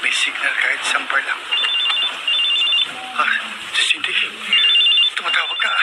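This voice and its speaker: A man's voice: medium pitch, steady tone, measured pace, very noisy, natural voice. A man playing an anxious, earnest young deliveryman in love in a radio drama. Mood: anxious, straining. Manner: worried, earnest.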